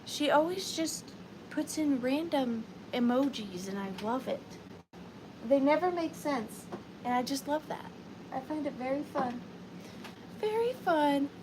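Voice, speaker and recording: Slow, soft-spoken woman. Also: high-pitched, expressive, noisy background. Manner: soft, slow and chatty, drifting into whispers. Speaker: live sale host. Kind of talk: live auction selling chat. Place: home studio with ring light.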